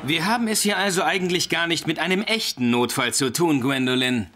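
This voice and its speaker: An actor playing a calm, friendly, reassuring firefighter in a cartoon. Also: male voice, on the high side, a little fast, faint music behind. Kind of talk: animated series dub acting.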